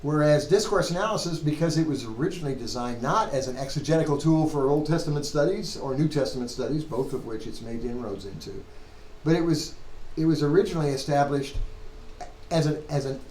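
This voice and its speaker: A man's voice: a little high, fairly quick, darkish tone. Male lecturer in a roomy, noisy classroom. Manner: conversational, rhetorical questions and self-corrections. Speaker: seminary Hebrew lecturer. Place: classroom with students. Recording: roomy echo, clear background noise.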